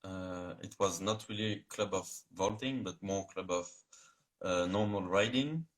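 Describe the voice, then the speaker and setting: A young man from France with a French accent, answering interview questions in English over a video call, halting and searching for words. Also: low voice, low-quality recording. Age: young adult. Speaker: guest.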